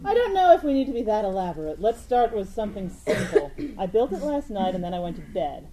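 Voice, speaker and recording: A woman's voice: medium pitch, sing-song, quick pace, mellow, a little hiss or hum, lightly acted, poor audio. A woman acting an earnest young inventor in a comic radio play, exasperated and analytical. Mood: puzzled, determined.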